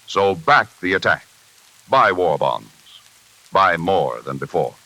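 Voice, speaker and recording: A man's voice: low-pitched, big pitch swings, slow pace, pushed and bright, poor audio. An American man announcing formally and solemnly on 1940s radio. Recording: old 1940s radio broadcast recording.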